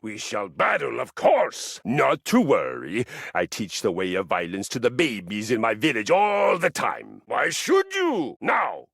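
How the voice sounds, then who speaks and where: Game role: vain, jovially bellicose male Viking warrior. Gravelly voice, unhurried grandiose delivery. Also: mid-range voice, wildly swooping pitch, a bit bright, dry recording, studio quality, full character voice. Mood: eager, belligerent. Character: boastful, battle-hungry male Viking warrior, a personified treadmill. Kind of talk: theatrical video game character acting.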